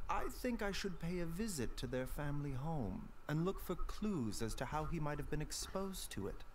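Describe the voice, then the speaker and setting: Casting: sly, gentle young physician in a game, unhurried, formal, very expressive pitch. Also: male voice, a little hiss or hum. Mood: thoughtful. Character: gentle, secretive young male doctor, courteous and sly. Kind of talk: video game voice acting.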